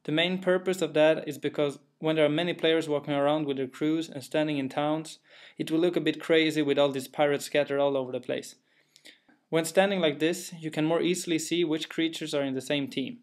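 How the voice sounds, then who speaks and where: Swedish-accented young man, fairly high voice. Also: steady tone, a little fast. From Sweden. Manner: careful, plain, a little hesitant with fillers. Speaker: indie game developer. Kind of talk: narrated gameplay walkthrough.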